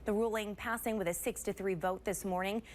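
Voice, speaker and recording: A woman with an American accent anchors a newscast. Her voice sits at a middle pitch as she reads scripted stories in broadcast style, with some noise in the recording. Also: steady tone, brisk. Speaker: news anchor. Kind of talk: scripted news broadcast narration.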